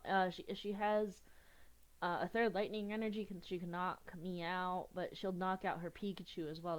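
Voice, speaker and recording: A young woman with a slightly low voice talks her way through an online card game match. She has a cold and sounds a bit sniffly. Her delivery is chatty and casual, full of small giggles and self-corrections. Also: smooth. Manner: chatty, giggly, restarts often. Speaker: gaming youtuber.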